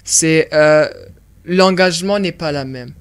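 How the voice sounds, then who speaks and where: A young film director from Burundi speaks in a radio studio interview. His voice sits fairly high for a man. He explains things eagerly and often repeats words while he works out a thought. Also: takes it slowly, smooth.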